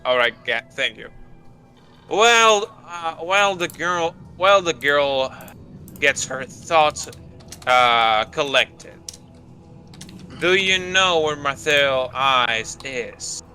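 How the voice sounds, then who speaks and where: A man's voice: a little high, lively intonation, slow, bright, forceful tone, soft background music. A man chatting as a tabletop player, hesitant and swearing casually. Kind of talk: casual chat at a tabletop game.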